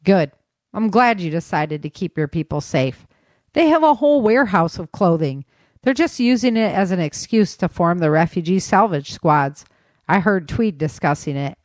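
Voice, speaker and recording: A woman's voice: fairly low pitch, animated, moderate pace, no room sound, natural voice. A woman narrating an audiobook, playing an earnest, inquisitive, protective male staff sergeant. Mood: earnest, curious. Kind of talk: audiobook character dialogue.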